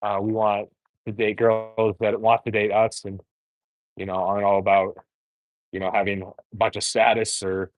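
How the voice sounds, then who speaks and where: A man's voice: lowish voice, level tone, moderate pace, no room sound, low-quality recording. A man, chatting frankly while cooking on a livestream, full of fillers and restarts. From born in Korea, raised in the US. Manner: rambling, filler-heavy, frank. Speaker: dating coach host.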